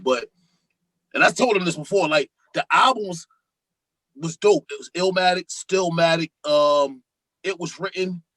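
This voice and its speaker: A man, a guest rapper on a podcast, talking loosely and excitedly about music in casual chat. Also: on the high side, dry recording, low-quality recording. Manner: loose, animated, talks over others.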